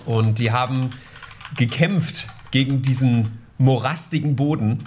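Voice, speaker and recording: A man's voice: medium pitch, a little slow, darkish tone, slight background noise. Joking male podcast host. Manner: joking, ironic banter. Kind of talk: casual podcast chat.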